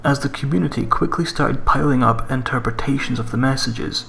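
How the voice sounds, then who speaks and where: Man narrating a creepy story, creaky voice. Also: mid-range voice, a little fast, a bit bright. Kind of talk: scripted horror story narration. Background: music.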